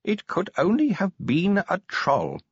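A man's voice: sits high, wildly swooping pitch, breathy edge, dry recording, dull audio, voice pushed up, heavily acted. A man voicing an old male dwarf with a thin, uncertain voice, in an audiobook. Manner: hesitant, slow.

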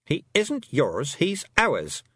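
A man's voice: fairly high pitch, huge pitch swings, slow, a bit bright, husky, no echo, clean studio sound, voice pushed up, heavily acted. A man voicing a stubborn young witch girl who speaks bluntly and defiantly.

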